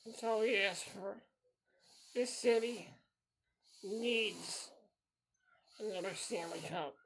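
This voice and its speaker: A man's voice: sits high, takes it slowly, creaky, fairly bright tone, a little hiss or hum. A man in his thirties hosting a solo podcast, talking in an earnest, repetitive way and circling back to the same phrases. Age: 30s. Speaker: podcast host.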